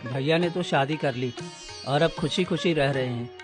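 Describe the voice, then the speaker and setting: A man's voice: on the high side, normal speed, natural voice. Man acting plaintive young woodcutter over music. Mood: self-pitying. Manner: plaintive, deferential. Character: poor, anxious young woodcutter, humble younger brother. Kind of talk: dramatised children's story.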